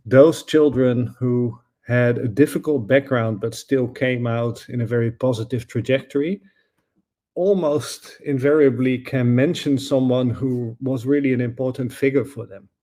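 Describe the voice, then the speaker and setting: Middle-aged Dutch academic guest, relaxed warm voice, explains carefully with pauses and restarts. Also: male voice, medium pitch. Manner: thoughtful, searching for words with frequent fillers and restarts. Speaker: guest, professor and author. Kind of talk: conversational interview answers.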